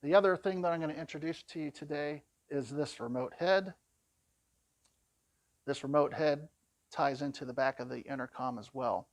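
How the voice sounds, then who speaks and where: A man's voice: a little high, moderate pace, no echo. A man giving an informal product walkthrough in a plain-spoken, conversational way, explaining things as a demonstrator.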